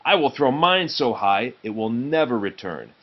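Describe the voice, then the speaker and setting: Guy doing a boastful storybook tailor, lively and swoopy, bit muffled. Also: medium pitch, normal speed. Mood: cheerfully confident. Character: plucky boastful tailor in a children's fairy tale.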